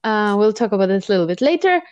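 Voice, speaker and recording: A woman hosting a casual interview, friendly and full of quick affirmations and fillers. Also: medium pitch, sing-song, darkish tone, clear, resonant tone, dry recording, dull audio, soft background music. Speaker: interviewer host.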